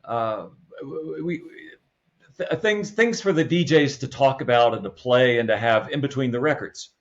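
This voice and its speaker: An American man in a casual interview, reminiscing as a guest in a halting way with repeated words. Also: medium pitch, dull audio. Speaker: podcast guest, radio comedy writer and producer.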